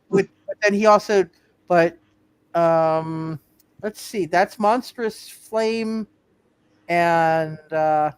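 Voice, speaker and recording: A man with a high-pitched voice runs a tabletop game as game master. He speaks casually and haltingly, stammering and restarting phrases while he works through the rules. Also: smooth, no room sound. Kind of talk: casual live tabletop superhero game talk, working out rules.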